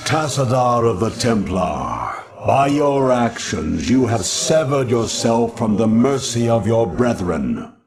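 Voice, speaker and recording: A man's voice: medium pitch, big pitch swings, takes it slowly, few pauses, a crowd in the background. A man voicing a stern, zealous, haughty alien judicator with a pompous, declamatory delivery for a video game. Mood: condemning.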